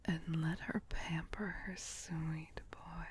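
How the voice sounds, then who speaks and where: A woman voicing a doting, possessive vampire queen in a soothing, breathy whisper for an ASMR roleplay. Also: deep voice, steady tone. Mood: tender, coaxing. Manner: soothing, slow, affectionate pet-name coaxing.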